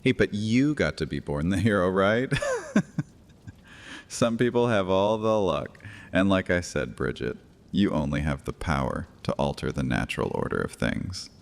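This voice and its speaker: Very deep, taunting ancient vampire played by a man. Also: expressive, unhurried, vocal fry, heavily acted. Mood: sardonic, taunting. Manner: theatrical, taunting, sardonic. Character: bitter, mocking thousand-year-old male vampire exile. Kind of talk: dramatic audio fiction acting.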